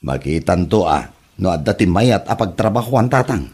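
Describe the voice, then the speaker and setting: A man's voice: low voice, big pitch swings, moderate pace, darkish tone, slightly rough, heavily acted. An actor playing a jobless, sweet-talking young womanizer son who is evasive and short of money, in an Ilocano comedy drama. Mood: pleading, defensive.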